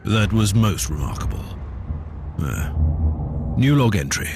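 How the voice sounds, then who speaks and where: An actor playing a stern, self-assured inquisitor in a sci-fi video game, with a measured, dry and authoritative delivery. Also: male voice, fairly low pitch, very animated, over music. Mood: reflective. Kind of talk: dramatic video game voice acting.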